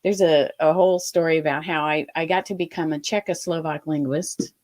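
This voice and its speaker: A woman in her fifties from Ohio, a guest telling her life story in an interview, animated and full of quoted asides. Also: low voice, brisk. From Ohio, USA.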